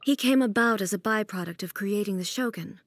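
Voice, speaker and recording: A woman voicing a solemn, reserved ruler goddess in a video game, soft and breathy with formal, measured phrasing. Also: medium pitch, a little hiss or hum, natural voice. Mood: solemn, regretful. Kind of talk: video game character voice line.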